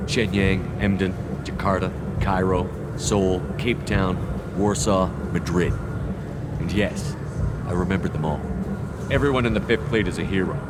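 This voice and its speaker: Deep-voiced commanding soldier. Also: male voice, a little slow, heavy background noise. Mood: solemn, defiant. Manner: firm, direct, authoritative. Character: resolute, commanding human male soldier hero. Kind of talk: video game voice acting.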